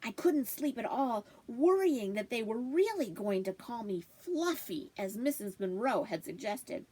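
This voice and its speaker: A woman's voice: on the high side, sing-song, measured pace, warm tone, slightly rough, exaggerated voice. A woman voicing a wry, food-loving family dog who narrates his own storybook tale in a confiding manner.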